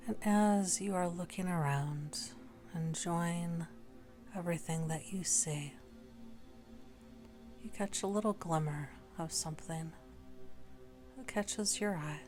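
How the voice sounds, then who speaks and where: A woman leading a guided meditation in a gentle, unhurried way, leaving long pauses between short phrases. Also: on the low side, faint music behind. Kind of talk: slow guided meditation narration.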